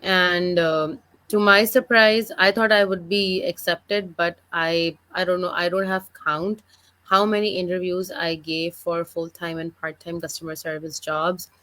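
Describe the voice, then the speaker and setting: A woman's voice: lowish voice, fairly even intonation, measured pace, bright, forceful tone, low-quality recording. A woman from Pakistan in her thirties, a guest on a video call interview, telling her story in a friendly, fluent way with many filler uhs. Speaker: guest, digital business mentor. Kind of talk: online interview, personal story.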